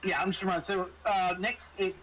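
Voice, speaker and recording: A man running a tabletop horror game as game master, improvising narration in a halting, stammering way as he searches for words. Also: sits high, fairly even intonation, a crowd in the background. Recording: noisy room recording with clear background noise.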